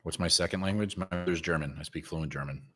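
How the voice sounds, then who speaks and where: A man's voice: low-pitched, fast talker. A man co-hosting a live trading stream, chatting casually and trash-talking viewers with blunt teasing. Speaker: co-host trader.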